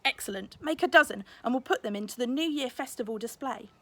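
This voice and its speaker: A woman's voice: on the high side, lively intonation, fast talker, a bit bright, no echo. A woman voicing a stern, fretful father who is a master craftsman, blustering and exclaiming as in a storybook. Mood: approving.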